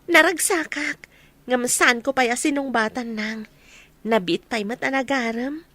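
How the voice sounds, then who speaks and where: A woman's voice: on the high side, takes it slowly, sharp tone, exaggerated voice. A woman playing a sweet, coy young village maiden, obedient to her mother, with an Ilocano accent in a radio drama.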